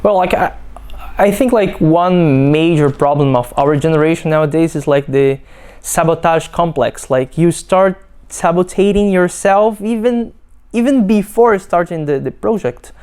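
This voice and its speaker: A young man from Brazil with a Brazilian Portuguese accent, a PhD student giving a halting testimonial full of fillers and restarts. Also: fairly high pitch, a little mellow, clean tone. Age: young adult. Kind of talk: testimonial interview.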